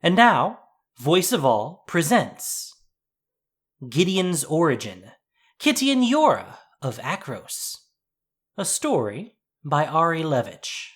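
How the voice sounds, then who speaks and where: A man's voice: fairly high pitch, huge pitch swings, slow pace, long pauses, fairly bright tone, slightly rough. A man narrating a scripted story as a dramatic storyteller.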